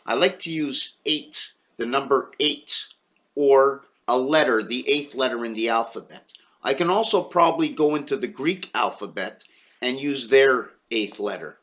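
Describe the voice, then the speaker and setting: A man's voice: medium pitch, bright, forceful tone. A man from Quebec explaining number patterns to a small online audience in a meandering, musing way, often counting aloud. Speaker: video creator. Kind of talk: rambling numerology explanation.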